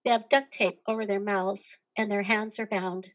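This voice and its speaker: A woman's voice: mid-range voice, steady tone, moderate pace. A woman reading stage directions as scripted narration for a play.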